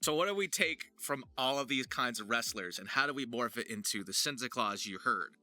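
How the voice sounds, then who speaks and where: A man's voice: mid-range voice, expressive, a little fast, sharp tone. A man giving a casual tutorial as a voice actor, with an emphatic, jokey, self-deprecating manner.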